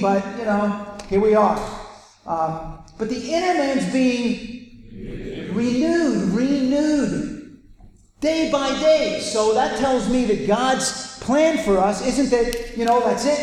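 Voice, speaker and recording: A man with a Welsh accent preaching a conversational sermon to a convention audience, often restarting and repeating words. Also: very high-pitched. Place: large hall with a live audience. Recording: echoey hall with long reverb, faint ambience.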